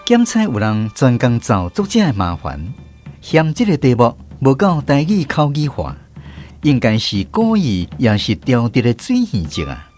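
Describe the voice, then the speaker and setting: A man's voice: medium pitch, wildly swooping pitch, moderate pace, a little mellow, faint music behind. A man hosting and narrating a radio drama episode in a storytelling manner. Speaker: host and narrator.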